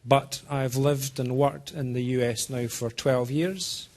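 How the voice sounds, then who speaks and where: A man from Scotland with a mixed Scottish and American accent gives an informal technical presentation, speaking deliberately and haltingly as he checks in with his listeners. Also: mid-range voice, steady tone, darkish tone. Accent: Scottish-American mix. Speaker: software program manager giving a presentation. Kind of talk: informal technical talk to a small audience.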